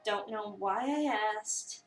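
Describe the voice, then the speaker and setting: Girl putting on a gruff busy chef woman from a visual novel, slow n curt, roomy sound. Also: medium pitch, faint music behind, close to an everyday voice. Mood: curt, dismissive. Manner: terse, dismissive, deadpan. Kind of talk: visual novel dramatic reading. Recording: roomy, a bit far from the mic.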